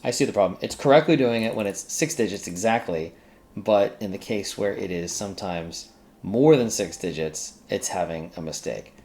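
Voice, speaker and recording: Low, creaky-voiced man thinking aloud. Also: normal speed, a little mellow. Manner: mutters to self while working. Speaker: screencast host working through a coding task. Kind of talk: casual think-aloud coding tutorial.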